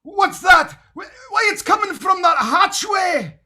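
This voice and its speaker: An actor playing a horrified, excitable harbor onlooker, shouting melodramatically in a 1930s-style radio play. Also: male voice, very high-pitched, slow pace, pitched above the performer's own voice, heavily acted.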